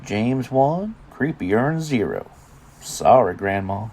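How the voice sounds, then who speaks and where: Lively-voiced weary, teasing dad. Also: male voice, medium pitch, takes it slowly, breathy edge, exaggerated voice. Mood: wry, easygoing. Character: weary, teasing father of a teenage daughter. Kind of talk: audio drama dialogue.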